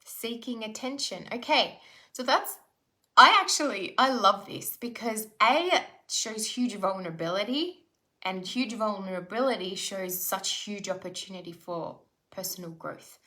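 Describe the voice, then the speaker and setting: A middle-aged woman runs a live self-development session online. Her voice is bright and forward. She speaks conversationally and keeps pulling listeners in with rhetorical questions and quick check-ins. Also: mid-range voice. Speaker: spiritual life coach. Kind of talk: live online workshop talk.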